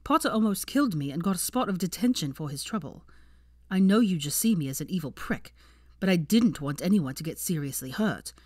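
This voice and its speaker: A woman's voice: fairly low pitch, expressive, fairly quick, darkish tone, no room sound, studio quality. A woman reading the part of a bitter, defensive seventeen-year-old wizard boy with a cold temper in an audiobook story. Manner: profane, bitter, arguing in long bursts. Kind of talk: fan fiction audiobook character dialogue.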